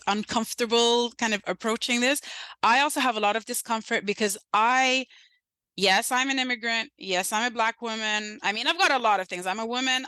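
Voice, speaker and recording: Sudanese woman guest on a podcast, bright voice, dry close sound, earnest, keeps saying right?. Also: medium pitch, no room sound. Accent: Sudanese, with a light British lilt. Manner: earnest and emphatic, often adds "right?" and laughs softly. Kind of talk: conversational podcast discussion.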